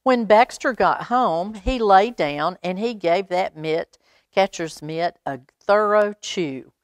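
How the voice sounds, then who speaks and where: A woman reads a children's picture book aloud, adding expressive, chatty asides for young listeners. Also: medium pitch, slow, dry recording. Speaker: library storytime reader.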